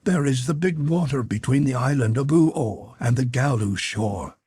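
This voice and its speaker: A man's voice: mid-range voice, moderate pace, a little mellow, breathy edge, no echo, voice pushed up, close to an everyday voice. A man voicing a young captive woman in an audiobook, earnest and sorrowful, with formal old-fashioned phrasing. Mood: earnest, wistful. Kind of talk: audiobook character voice.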